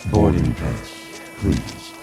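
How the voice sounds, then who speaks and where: Very deep, slow alien usher. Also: male voice, animated, full character voice. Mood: coldly insistent. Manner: formal, measured, commanding courtesy. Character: towering polite alien usher, calm and coldly insistent. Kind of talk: radio drama acting. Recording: music behind the voice.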